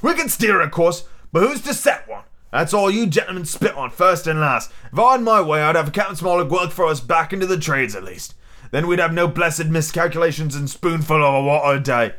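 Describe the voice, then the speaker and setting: A man's voice: a little high, animated, fairly quick, bright, forceful tone, voice pushed up. A man reading an audiobook, voicing a cunning, boastful, domineering pirate cook with emphatic rustic sailor talk. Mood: scornful, commanding. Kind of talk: audiobook character acting.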